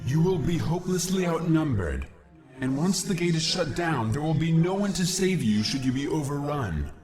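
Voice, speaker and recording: Man voicing an animated, earnest young alien warrior. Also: fairly high pitch, normal speed, natural voice. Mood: solemn concern. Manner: formal, earnest, military. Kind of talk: video game voice acting. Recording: game music behind voice.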